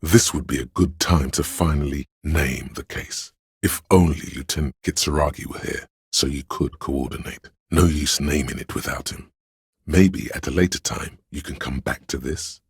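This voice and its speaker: Super deep husky game narrator, solemn inner cop instinct, measured and brooding, really raspy. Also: male voice, no echo, studio quality, heavily acted. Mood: brooding, hushed. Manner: measured, brooding, literary delivery. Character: inner voice of police instinct, solemn, brotherly, watchful. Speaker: video game voice actor, narrator. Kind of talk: scripted second-person game narration.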